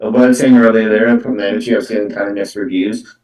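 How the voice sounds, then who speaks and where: A man hosting live card readings as a psychic medium, talking off the cuff in a halting, repetitive way with many filler words like "though" and "actually". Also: on the low side, fairly even intonation, darkish tone. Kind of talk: unscripted live-stream talk. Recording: roomy echo, distant from the mic.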